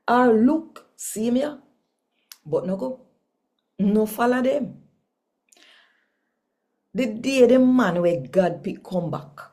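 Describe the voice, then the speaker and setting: A woman with a Jamaican accent reading scripture aloud as a channel host, in an expressive, measured storytelling way. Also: on the low side.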